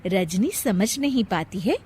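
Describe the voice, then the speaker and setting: A woman's voice: medium pitch, lively intonation, measured pace, darkish tone, slightly rough. A woman narrating a scripted moral story as a storyteller.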